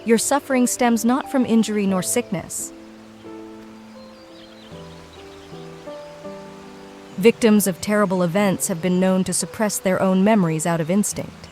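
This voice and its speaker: An actress voicing a serene, dignified healer and leader in a video game, speaking archaic, formal English. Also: female voice, medium pitch, brisk, mellow, lightly acted. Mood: concerned, composed. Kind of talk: scripted video game voice acting. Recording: studio voice under game music.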